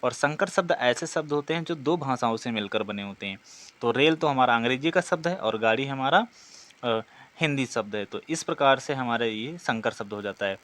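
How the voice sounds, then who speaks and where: A man's voice: medium pitch, animated, normal speed, a bit bright. A man teaching an exam practice lesson, reading out questions and explaining the answers in a didactic way, often asking and answering himself.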